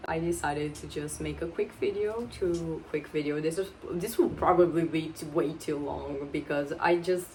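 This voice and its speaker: A woman's voice: deep voice, steady tone, moderate pace, few pauses, a little mellow, a little hiss or hum. A woman in her twenties, a native Portuguese speaker, chatting casually to camera at home, a little awkward and rambling, often laughing. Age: 20s. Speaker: book vlogger. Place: home with pets around.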